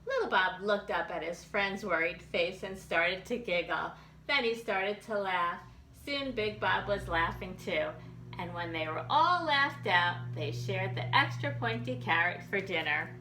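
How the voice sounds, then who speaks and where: A woman reading aloud as a children's library storyteller, expressive and animated, in simple child-directed language. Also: mid-range voice, unhurried. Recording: roomy echo with background noise.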